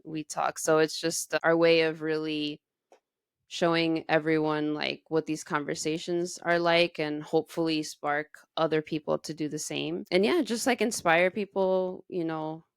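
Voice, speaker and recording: A woman's voice: low-pitched, steady tone, unhurried, vocal fry, smooth, dry recording, studio quality. A woman in her thirties from the United States, raised in Texas, chatting casually as a podcast host in informal speech peppered with "like". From United States, grew up in Texas. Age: 30s. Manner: informal, heavy use of "like". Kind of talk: casual conversational podcast chat.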